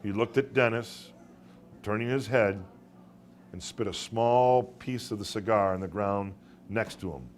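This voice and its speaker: A man reading his own short story to a live room as a dramatic storyteller, with deliberate pauses and occasional stumbles. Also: on the low side. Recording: live room recording with background noise.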